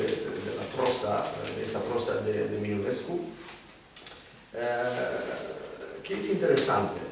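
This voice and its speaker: Male panelist, slow and hesitant, distant echoey room sound. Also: medium pitch, gravelly, background noise. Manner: halting, many filler hesitations. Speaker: panelist, literary scholar. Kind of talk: round-table talk. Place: lecture room.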